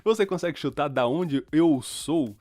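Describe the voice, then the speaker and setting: A man's voice: fairly high pitch, unhurried, darkish tone, no echo. Young guy from chile hosting a faith podcast, loose chatty talk, dead dry close mic. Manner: chatty, informal, fillers like "cara". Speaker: podcast host.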